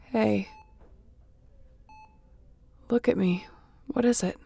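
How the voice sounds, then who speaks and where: A woman playing a wounded starship captain in a sci-fi audio roleplay, wry and caring, speaking haltingly and softly with a breathy, gravelly voice. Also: mid-range voice, fairly even intonation, exaggerated voice. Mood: concerned, earnest. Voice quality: gravelly, often breathy and whispery.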